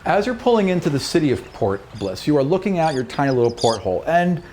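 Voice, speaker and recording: A man's voice: on the high side, big pitch swings, fast, noisy background. A man working as a game master, narrating a tabletop roleplaying game in a descriptive storytelling way. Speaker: game master and podcast host.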